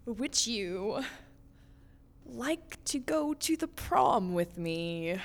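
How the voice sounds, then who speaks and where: A woman's voice: high voice, lively intonation, takes it slowly, bright, forceful tone, full character voice. A woman playing a dramatic, earnest, lovestruck teenage girl in a comedic audio drama, gushing and theatrical. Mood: smitten, confiding. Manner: gushing, theatrical, exasperated with family.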